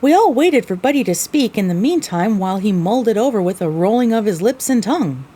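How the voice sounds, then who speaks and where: A woman's voice: medium pitch, animated, a little fast, dark tone, natural voice. A woman playing a young pony mare who narrates her own adventure in an audio drama. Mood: earnest, storytelling. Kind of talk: scripted first-person audio drama narration.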